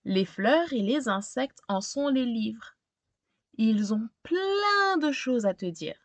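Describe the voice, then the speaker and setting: A woman voicing a gentle woman gardener in a storybook, tenderly confiding and explaining things to a child. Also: a little high, very animated, slow, no room sound, exaggerated voice. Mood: gentle teaching.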